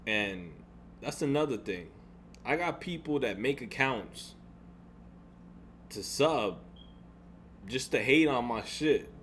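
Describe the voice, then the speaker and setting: A young man with a deep voice venting in a casual vlog, frustrated and rambling, restarting sentences and swearing. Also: lively intonation, slow, pauses often, fairly bright tone, slight background noise. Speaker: gaming YouTuber.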